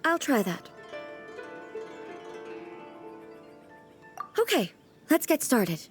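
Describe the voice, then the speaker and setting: Dignified, high-voiced immortal lady ruler. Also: very animated, faint music behind. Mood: hesitant, self-conscious. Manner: formal, earnest, measured, reflective. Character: dignified, naive immortal female ruler pondering eternity. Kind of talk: English video game voice acting.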